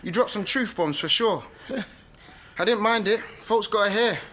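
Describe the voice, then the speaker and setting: Cocky, high-voiced young London man. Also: unhurried, pushed and bright, slight background noise. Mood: wheedling, cocky. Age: young adult. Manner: cocky, slangy, argumentative. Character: cheeky, slangy young man, professor's wayward son. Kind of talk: radio drama acting, street slang.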